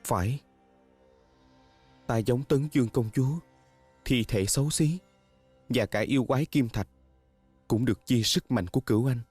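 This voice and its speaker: A man's voice: medium pitch, normal speed, pauses often, darkish tone, slightly rough, no room sound, lightly acted. A man dubbing a devoted, self-blaming young male spirit in a fantasy drama, speaking earnestly and solemnly. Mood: solemn, sorrowful. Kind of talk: dubbed fantasy drama acting. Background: music.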